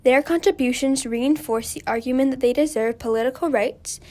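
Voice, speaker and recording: High-voiced woman playing a polite 1920s radio hostess. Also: normal speed, a little mellow, natural voice. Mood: upbeat, polite. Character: upbeat woman host of a 1920s women's rights radio show. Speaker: radio host. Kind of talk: scripted amateur 1920s radio show.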